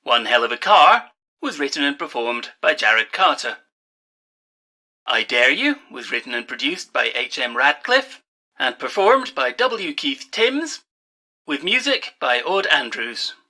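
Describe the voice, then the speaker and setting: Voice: medium pitch, sing-song, unhurried, pushed and bright, exaggerated voice. A performer playing a late-night radio host, reading a scripted sign-off and credits in a theatrical announcer manner. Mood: showy, courteous.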